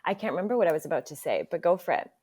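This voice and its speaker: A woman's voice: lowish voice, lively intonation, racing, a little mellow, husky. A young woman from Nigeria, a podcast guest, chatting openly and easily about herself. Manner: open, chatty, laughs easily. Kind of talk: casual interview chat.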